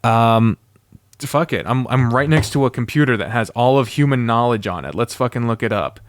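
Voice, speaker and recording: A man's voice: mid-range voice, expressive, normal speed, no echo. A man hosting a solo podcast about games, casually thinking aloud in a rambling, sweary commentary. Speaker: podcast host.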